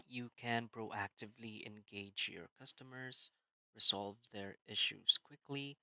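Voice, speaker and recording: Man, tutorial narrator, lowish voice, sharp bright tone, dry dull lo-fi sound, step-by-step with filler words. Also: dry recording. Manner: plain step-by-step instructions, frequent "so" and "uh". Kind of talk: screen-recorded walkthrough narration.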